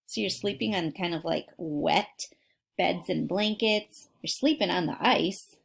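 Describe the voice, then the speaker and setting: A woman's voice: lowish voice, unhurried, creaky, husky. A woman reading a children's nonfiction story aloud like a teacher, with dramatic emphasis and direct asides to her listeners. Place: at home.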